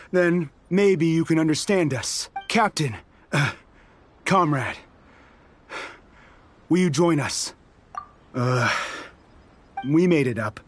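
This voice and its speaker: An actor playing a defiant, embittered young resistance soldier in a video game, speaking in an impassioned, declamatory way. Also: male voice, a little high, expressive, slow pace, long pauses, slight background noise. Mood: grave, confessing. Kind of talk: video game character acting.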